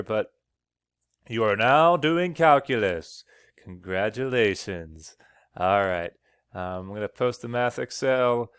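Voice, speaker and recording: A male teacher's smooth, clean voice talks students through a slide lesson one step at a time, stopping often to ask "okay?". The recording is dry and close, and his voice sounds a little worn. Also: on the low side, no echo. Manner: explains step by step, frequent "okay?" checks. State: slightly losing his voice. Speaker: math teacher.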